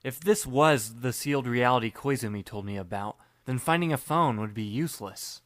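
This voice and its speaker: A man's voice: mid-range voice, moderate pace, no echo. A young man reading first-person audiobook narration in a dry, wry, understated manner. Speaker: narrator and lead reader.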